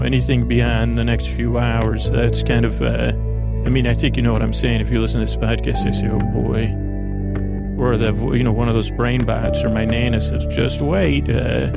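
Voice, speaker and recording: A man hosting a bedtime podcast in a gravelly voice, rambling and halting, often trailing off mid-thought. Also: medium pitch. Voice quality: creaky, gravelly. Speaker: podcast host.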